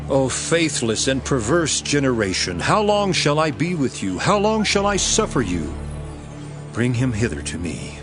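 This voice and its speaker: A solemn holy teacher, commanding, mid voice with lots of rise and fall, music under it. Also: male voice, mid-range voice, huge pitch swings. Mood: exasperated. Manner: declamatory, deliberate. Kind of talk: dramatized scripture acting.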